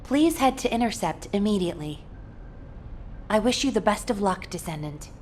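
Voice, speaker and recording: A composed actress-played female mission commander from a video game delivers a serious briefing at a measured, formal clip. Her medium-pitched voice sits over a faint rumble of background noise. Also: animated, darkish tone, lightly acted. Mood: grave, businesslike. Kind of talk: scripted game briefing dialogue.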